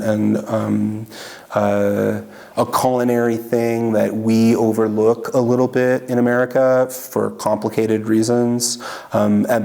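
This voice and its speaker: Guy rambling thru an interview, lots of ums, kinda low and gravelly. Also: darkish tone. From Washington state. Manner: rambling, many fillers and restarts. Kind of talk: casual oral history interview.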